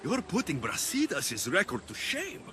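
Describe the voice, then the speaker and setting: A man's voice: on the high side, huge pitch swings, moderate pace, background noise. An actor playing a battle-hardened Greek mercenary who is a doting, playful young father in a video game drama. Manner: playful, sing-song when talking to his baby.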